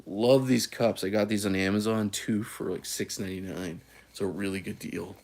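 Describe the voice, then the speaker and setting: A man's voice: lowish voice, steady tone, moderate pace, darkish tone. A man from California hosting a casual coffee live stream, easygoing and folksy, with many fillers and restarts. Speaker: coffee channel host.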